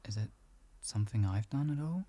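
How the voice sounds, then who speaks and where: A man playing a gentle, doting boyfriend in an improvised ASMR roleplay, coaxing softly and reassuringly in an intimate, husky, gravelly voice. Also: fairly low pitch, lively intonation, fairly quick. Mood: gentle concern. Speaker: voice actor in audio roleplay.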